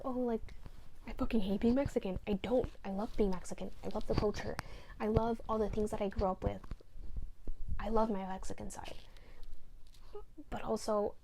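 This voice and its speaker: A woman's voice: medium pitch, level tone, brisk, creaky, pauses often, mellow, a little hiss or hum, rough recording. A young woman co-hosting a casual podcast from a bedroom, talking over her feelings with many fillers and sometimes dropping to a near whisper. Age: young adult. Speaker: podcast co-host. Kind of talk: candid personal chat. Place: bedroom, seated on a bed.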